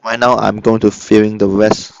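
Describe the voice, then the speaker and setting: A man narrating a step-by-step software tutorial in short, halting instructional phrases. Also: fairly low pitch, level tone, vocal fry, no room sound. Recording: dull lo-fi computer recording. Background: screen-recorded tutorial voice-over.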